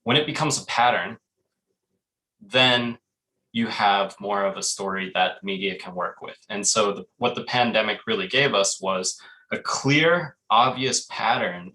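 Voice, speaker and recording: Halting man on a video call panel. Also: on the low side, pushed and bright, poor audio. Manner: thoughtful, halting, many fillers and restarts.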